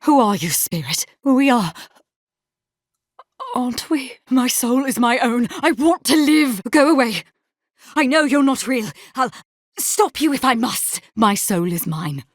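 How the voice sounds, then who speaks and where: Haunted imperial captain, female, low voice, formal wording, video game dialogue, close dry sound. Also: lively intonation, dry recording. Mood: frightened, defiant. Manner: formal, clipped, deliberate wording. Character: stern imperial military captain woman, haunted by visions. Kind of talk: scripted game character dialogue.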